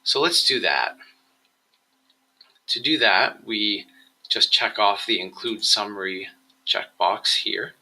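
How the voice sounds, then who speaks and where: Man, slightly low pitch, very bright sharp tone, software tutorial presenter, measured step-by-step screencast narration. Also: low-quality recording.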